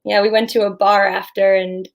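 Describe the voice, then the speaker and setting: Actress as a young woman catching up on a video call, casual, bit hesitant, mid pitch. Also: flat delivery, normal speed, creaky, natural voice. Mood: amused, chatty. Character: easygoing, slightly awkward young woman catching up. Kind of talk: naturalistic play dialogue over video call.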